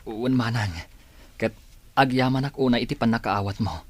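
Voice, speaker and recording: A man's voice: mid-range voice, fairly even intonation, moderate pace, no echo, natural voice. An actor with an Ilocano accent playing an earnest, hesitant man in a radio drama. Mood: nervous, hesitant. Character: earnest, hesitant man in a rebel group, shyly in love.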